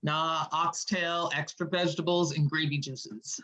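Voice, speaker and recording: A woman's voice: deep voice, steady tone, measured pace, fairly bright tone, rough recording. A woman playing a witty, teasing poet visiting an old lover in a script read, with an American accent and slangy, playful delivery. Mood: playful, easygoing. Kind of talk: informal screenplay table read.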